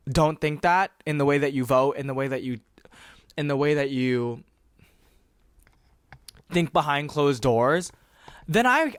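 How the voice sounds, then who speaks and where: A man's voice: on the high side, fairly bright tone, no echo, clean studio sound. A man in his twenties, a podcast host raised in Texas and California, rambling passionately in a casual monologue with some swearing.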